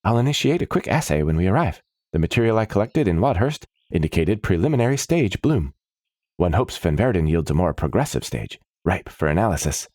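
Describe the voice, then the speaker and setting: A man's voice: low-pitched, big pitch swings, fairly quick, darkish tone, dry recording, clean studio sound. A man voicing an eager, long-winded woman scientist in a fantasy story, with precise, pedantic wording. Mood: keen, clinical. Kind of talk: fantasy audiobook character.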